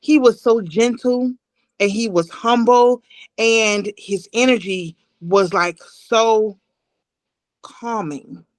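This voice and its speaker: A woman's voice: fairly high pitch, takes it slowly, no room sound. Emotional, earnest woman in her forties. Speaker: playwright, interview guest. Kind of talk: heartfelt personal interview talk. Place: remote video call. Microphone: video call.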